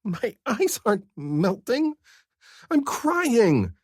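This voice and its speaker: A male narrator voicing a sad, sharp-tongued young woman who answers with tearful but wry retorts. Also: extremely high pitch, wildly swooping pitch, very slow, husky, no room sound, studio quality, voice pushed up, exaggerated voice. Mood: weary.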